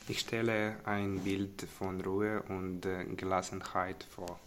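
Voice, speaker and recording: Male, 17, Ukrainian accent. Deep monotone, short interview answers. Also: slow pace, a little hiss or hum. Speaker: interviewee, refugee student.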